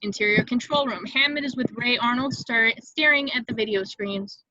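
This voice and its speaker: A woman narrates a screenplay's scene directions. Her voice sits a little high and sounds bright and crisp in its attack, though the recording is somewhat dull and lo-fi. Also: moderate pace, no echo. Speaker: narrator reading stage directions in a table read.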